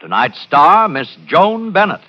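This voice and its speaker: An American man announces dramatically in 1940s radio style. He is very slow, with a fairly high voice. The recording is dull, with faint music behind. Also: big pitch swings. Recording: muffled vintage radio with music.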